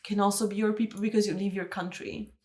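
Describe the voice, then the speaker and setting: A young Italian woman, co-host of a podcast, chatting casually and telling animated stories with many fillers. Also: medium pitch, fast talker. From Italy. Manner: animated storyteller, lots of fillers. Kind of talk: casual chat.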